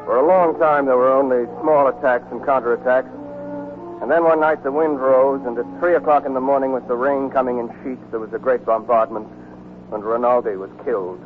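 A man's voice: medium pitch, steady tone, a little fast, over loud music, close to an everyday voice. An actor playing a weary American army deserter narrating his wartime love story in a 1940s radio drama. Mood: somber narrating. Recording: muffled, noisy 1949 radio broadcast recording.

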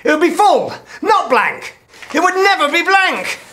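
A man's voice: extremely high pitch, big pitch swings, a little slow, bright, forceful tone, a little hiss or hum, full character voice. A middle-aged man playing a flustered, indignant scriptwriter in a comedy soap, repeating himself defensively. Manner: indignant, repetitive.

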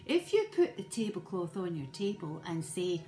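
A woman storyteller voicing the north wind, an apologetic, generous spirit in a children's tale, speaking calmly and in a measured way. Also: fairly low pitch. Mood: persuasive. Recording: echoey room, faint music.

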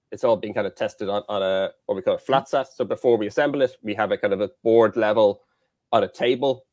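Young Irish man, low voice, dry headset sound, halting guest on a call. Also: darkish tone, dry recording. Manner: hesitant, lots of fillers and restarts. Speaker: guest, satellite engineer. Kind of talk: casual interview chat. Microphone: headset on video call.

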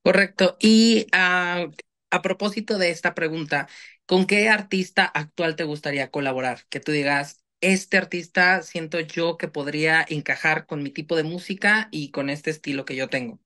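A young man interviews a singer over a phone video call. He asks friendly, rambling questions in a bright, forward voice. The phone is held close to his face. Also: a little high, moderate pace. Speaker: newspaper interviewer.

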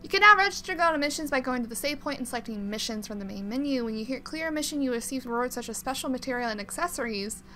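A woman's voice: sits high, expressive, quick pace, hardly any pauses, sharp tone. A woman hosting a let's play, commenting casually on a game and reading on-screen text aloud.

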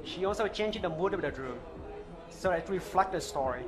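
Man with Vietnamese accent pitching on stage. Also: lively intonation. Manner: earnest, halting non-native phrasing. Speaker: startup founder pitching. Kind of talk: live product pitch. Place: open-air stage at a trade show. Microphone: headset mic.

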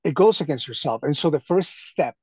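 A man from Venezuela talking casually on a group video call, haltingly, often repeating his phrases. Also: fairly high pitch, lively intonation, a little mellow, slightly rough, no room sound. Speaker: call participant. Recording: dull lo-fi call audio.

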